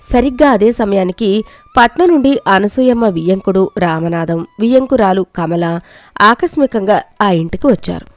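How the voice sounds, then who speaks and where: A woman telling a moral storybook tale in steady, scripted narration. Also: mid-range voice, moderate pace, no room sound.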